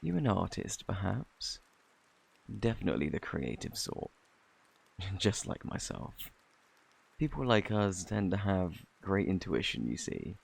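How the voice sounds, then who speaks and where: A man with a British accent playing a flirty, eccentric fortune teller in a fantasy ASMR roleplay, with a theatrical, boastful, easily flustered manner and a husky, gravelly voice. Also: low-pitched, very animated, unhurried, exaggerated voice. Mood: mystical, assured. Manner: theatrical, flustered, coaxing, old-fashioned phrasing. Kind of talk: ASMR roleplay, intimate scripted acting.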